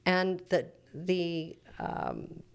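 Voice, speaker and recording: A woman's voice: fairly low pitch, extremely slow, smooth. A middle-aged woman speaking as a church preacher in an interview, explaining things in an earnest, reflective, teacherly way.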